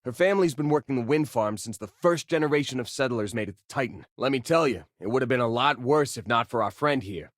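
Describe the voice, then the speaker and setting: A man's voice: medium pitch, animated, quick pace, few pauses, dry recording, clean studio sound, lightly acted. A young man playing a friendly, easygoing space miner and crewmate in a video game, talking in a casual, folksy, earnest way. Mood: friendly, chatty. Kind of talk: video game character dialogue and combat barks.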